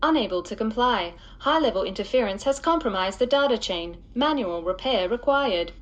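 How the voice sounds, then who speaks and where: A woman playing an unhelpful ship's computer in a sci-fi audio drama, speaking in flat, formal procedural phrases. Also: on the high side, normal speed, highs cut off, background noise, close to an everyday voice. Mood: impassive.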